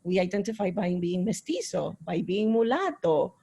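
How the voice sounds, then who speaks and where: Mellow, husky-voiced woman on a panel. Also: lowish voice, big pitch swings, moderate pace. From Caribbean, raised in Miami. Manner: earnest, reflective, personal. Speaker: panel participant. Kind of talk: discussion remarks.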